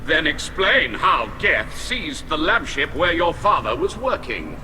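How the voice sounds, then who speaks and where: An actor playing a stern, hostile alien admiral acting as accuser in a video game, forceful, clipped and officious. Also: male voice, a little high, sing-song, a little slow, slight background noise, exaggerated voice, poor audio. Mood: cold, accusing.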